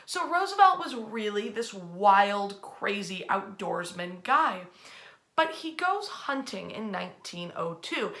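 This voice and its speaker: A woman hosting an informal history storytime from her bed at home, chatty and animated, using colloquial phrasing as she speaks to an online audience. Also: mid-range voice, takes it slowly. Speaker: host of a nightly history storytime.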